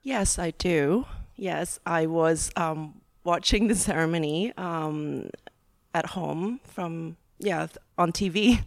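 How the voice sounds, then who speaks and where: A woman's voice: fairly low pitch, slow pace, no room sound, clean studio sound. A woman from Hong Kong, a law lecturer, talking as a podcast guest in a hesitant way with many fillers. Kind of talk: podcast interview.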